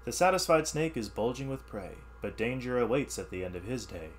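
A man teaching through an expressive read-aloud of rhyming science verse, with explanatory asides in an instructional manner. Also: medium pitch, a little fast, slight background noise. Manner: animated, instructional.

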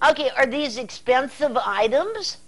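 An older American woman with a somewhat high-pitched voice hosts a casual talk-show interview. Her delivery is folksy and halting, often doubling back on words. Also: lively intonation. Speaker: TV interview host. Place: indoor TV studio set.

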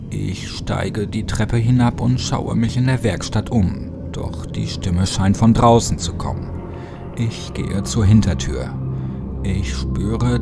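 A man reading a first-person horror story as a dramatic, theatrical narrator. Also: on the low side. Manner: theatrical, drops into hushed whispers. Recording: music behind the voice. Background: music.

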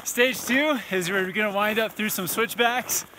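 A man's voice: high voice, moderate pace, noisy background. A young man casually narrating a snowboard vlog outdoors on a snowy mountain, talking to viewers into an arm's-length action camera. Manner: upbeat, addresses viewers as "guys", whoops. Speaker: snowboard vlogger. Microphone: action camera held at arm's length.

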